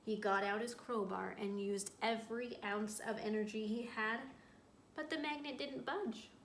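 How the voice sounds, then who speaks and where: A woman's voice: fairly high pitch, steady tone, a little slow. A woman, a school librarian, reading a picture book aloud to children, telling it expressively with little asides to her listeners. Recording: roomy echo, clear background noise.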